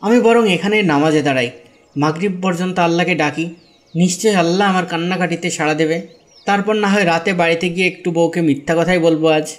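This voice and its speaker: A man's voice: on the high side, quick pace, soft background music, close to an everyday voice. A man playing a poor, devout young husband in an Islamic cartoon, speaking in an earnest, pleading way. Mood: worried. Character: poor, devout, hard-pressed young husband seeking work. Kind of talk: Islamic moral-tale cartoon dubbing.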